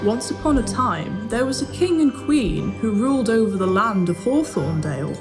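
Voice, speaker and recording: A woman storyteller reads a scripted fairy tale for a pantomime. Her voice is fairly high, with clear music playing behind it. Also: sing-song, normal speed. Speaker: storyteller narrator.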